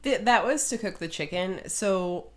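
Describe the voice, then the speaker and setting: A woman's voice: medium pitch, animated, normal speed. A woman co-hosting a casual chat show, speaking in a frank, matter-of-fact way. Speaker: podcast co-host.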